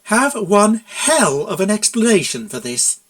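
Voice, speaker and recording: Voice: mid-range voice, big pitch swings, measured pace, voice pushed up. A storyteller voicing a feisty, sarcastic young cartoon pony mare who is easily exasperated, in a fan fiction reading. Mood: menacing. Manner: sharp, sarcastic, emphatic.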